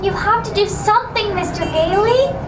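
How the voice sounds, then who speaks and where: A woman's voice: very high voice, normal speed, noisy background. A woman playing an earnest, hopeful young girl in a musical puppet show. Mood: pleading. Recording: distant echoey theater recording over loud music.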